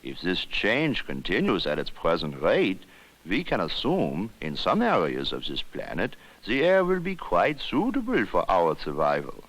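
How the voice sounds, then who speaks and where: An actor playing a learned alien ship's doctor in a scripted educational film, lecturing in a formal, precise, measured way. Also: male voice, low-pitched, wildly swooping pitch, a bit bright, exaggerated voice. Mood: earnest explaining.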